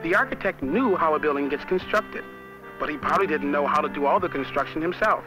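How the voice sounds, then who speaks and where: A man's voice: on the high side, expressive, fast, music in the background, natural voice, poor audio. A young American man playing a cheerful college student who narrates a 1970s educational film in a folksy, chatty way. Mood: explanatory. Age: young adult. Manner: folksy, chatty, addressing the viewer. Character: cheerful young college student guiding viewers round his street. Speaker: on-camera narrator.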